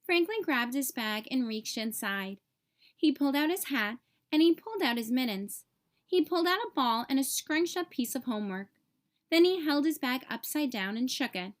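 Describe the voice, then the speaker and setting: A woman narrating a children's storybook aloud in an expressive, clearly phrased way. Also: high voice, normal speed.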